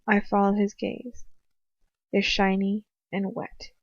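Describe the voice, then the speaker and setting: A woman's voice: medium pitch, completely flat delivery, slow. A woman giving a dramatic first-person reading of fan fiction, as an amateur audiobook narrator. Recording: muffled roomy home recording.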